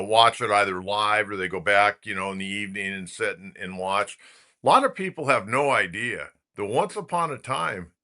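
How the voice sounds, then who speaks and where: A man's voice: on the low side, sharp tone. A middle-aged American man hosting a sports talk interview, conversational, building up to his questions at length. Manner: conversational, long lead-ins to questions. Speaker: sports talk show host.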